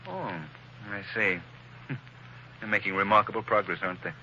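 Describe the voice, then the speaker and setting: An actor playing a suave, wealthy male gentleman sleuth, dry and self-assured, in 1940s radio acting style, heard through a noisy old broadcast. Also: lowish voice, normal speed, dull audio. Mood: wry.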